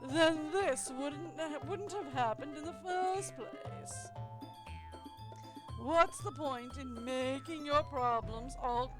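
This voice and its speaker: A woman voicing a blunt, combative teenage girl poet from a visual novel, quick to take offence. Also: sits high. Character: brash, hot-tempered teenage girl poet, easily offended. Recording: loud music behind the voice.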